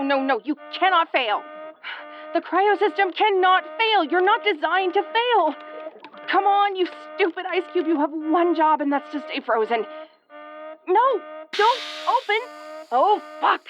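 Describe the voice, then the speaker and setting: Woman acting high-voiced, sardonic tomboy starship commander. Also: dull audio. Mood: panicked, exasperated. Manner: sarcastic, sweary, stammering when rattled. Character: sardonic, flustered tomboyish young female starship commander, sweary, secretly tender. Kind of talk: audio roleplay voice acting.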